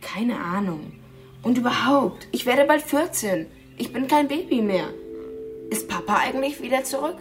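An actress playing a cheeky thirteen-year-old daughter in a radio crime drama, casual, blunt and nagging. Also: female voice, a little high, expressive, moderate pace, faint music behind, lightly acted. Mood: defiant.

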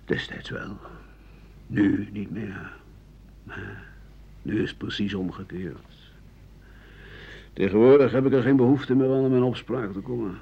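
An actor playing a bitter, cynical old French doctor and writer in a radio play, rambling and scornful with muttered asides. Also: male voice, fairly low pitch, a little slow, vocal fry, pauses often, breathy edge, heavily acted. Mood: disillusioned. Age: older. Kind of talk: radio play monologue in interview form. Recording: muffled, noisy 1980 radio drama recording.

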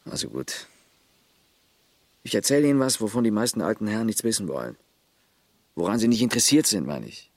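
Radio play: terse villager, husky, lively intonation, dry studio. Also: male voice, on the low side, brisk, pauses often, no room sound. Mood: matter-of-fact. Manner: terse, matter-of-fact, lists points. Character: Jo, village man caring for grandfather, blunt.

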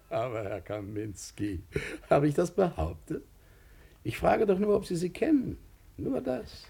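An actor playing a suave lawyer in a 1950s radio crime play, questioning with insinuating, mock-polite menace in a husky voice. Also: male voice, a little high, very animated, measured pace, dark tone, heavily acted. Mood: soft, insinuating. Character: suave, quietly menacing male lawyer interrogating a witness.

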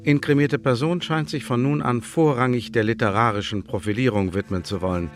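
An actor playing a stern, formal official of an Elizabethan spy agency in a German radio play. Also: male voice, medium pitch, steady tone, soft background music, lightly acted. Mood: dry, official. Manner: formal, bureaucratic, measured. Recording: reverberant hall.

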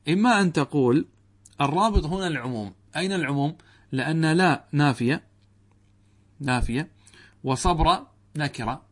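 A man teaching Arabic grammar to students, posing questions to the class and answering them himself, reciting quoted text. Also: a little high. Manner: addresses students directly, checks understanding.

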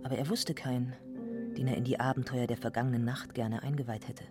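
A man's voice: mid-range voice, steady tone, fast, music in the background. A man narrating a literary radio play in a scripted storytelling style.

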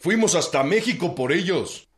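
A man's voice: high voice, lively intonation, normal speed, a bit bright. An actor playing an earnest, deferential village spokesman in a radio drama.

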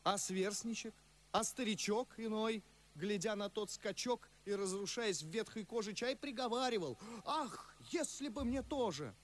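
Man playing a fiery high-voiced young nobleman. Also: moderate pace, close to an everyday voice. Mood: sardonic. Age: young adult. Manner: impassioned, biting, declamatory. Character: fiery, sardonic young nobleman, outspoken freethinker. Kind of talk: theatrical verse radio drama.

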